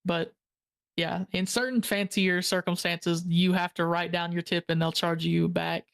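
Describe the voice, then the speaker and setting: A young man co-hosting a stream, talking in a dry, low-key, deadpan way. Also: unhurried, creaky. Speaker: co-host guest. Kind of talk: casual stream chat.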